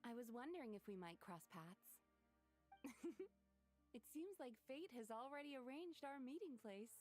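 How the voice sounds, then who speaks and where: A woman's voice: high voice, animated, normal speed, a little mellow, faint music behind. A woman playing a poised, gracious and playful young woman in a video game. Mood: gracious, amused.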